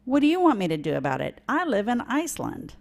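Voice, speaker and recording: A woman's voice: on the high side, very animated, quick pace, vocal fry, a little mellow. A woman voicing a dismissive female puffin in a children's story read aloud, curt and offhand.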